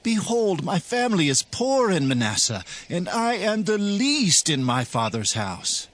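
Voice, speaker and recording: A man's voice: sits high, sing-song, unhurried, fairly bright tone, exaggerated voice. An actor playing a humble, doubting Israelite man who speaks in earnest, pleading tones in a dramatized scripture reading.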